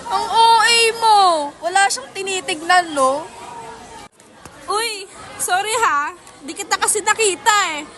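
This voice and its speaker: A very high, bright voice from a woman acting as a catty teenage queen bee in an amateur radio play. She is haughty and sarcastic, with a sassy edge. Faint chatter of people sits behind her. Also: measured pace, slight background noise, full character voice. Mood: mocking.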